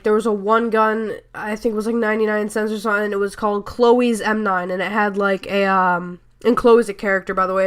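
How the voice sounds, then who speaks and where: A woman's voice: fairly high pitch, fairly bright tone. A young woman with a stuffy nose and sore throat, rambling through a chatty gaming voice-over full of fillers and self-corrections. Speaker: gaming YouTuber. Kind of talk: casual voice-over commentary.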